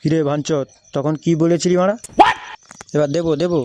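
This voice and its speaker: A foul-mouthed young cartoon tough, played by a man, brash and scornful. He talks very fast in a highish, monotone voice. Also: natural voice. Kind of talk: adult comedy cartoon voice acting.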